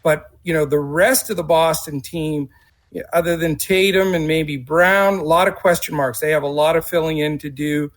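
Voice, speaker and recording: A man with a fairly high voice breaking down basketball on a podcast. He sounds confident and fills his talk with "you know" and "I mean.". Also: fairly even intonation, normal speed. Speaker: basketball analyst. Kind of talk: casual sports analysis.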